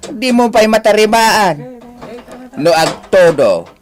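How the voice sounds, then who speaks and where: Scolding old Ilocano father, high-pitched. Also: lively intonation, takes it slowly, faint music behind. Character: scolding, plain-spoken old father, exasperated with his son. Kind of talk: Ilocano radio drama acting.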